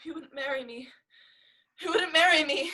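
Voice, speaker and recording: A woman's voice: normal speed, background noise, lightly acted. A woman voicing a desperate, jilted young maid with a tremulous, pleading manner, in 1930s-style radio drama acting. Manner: tremulous pleading, then shrill outbursts.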